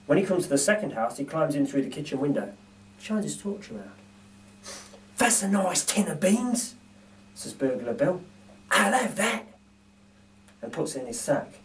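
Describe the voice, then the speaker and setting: A man reading a children's storybook aloud, with deliberate, dramatic phrasing. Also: a little high, a little mellow, slight background noise. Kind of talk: expressive children's story narration. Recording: distant microphone.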